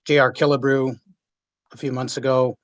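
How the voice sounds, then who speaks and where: Flat-voiced man listing his contacts. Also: mid-range voice, moderate pace. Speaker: city councilmember.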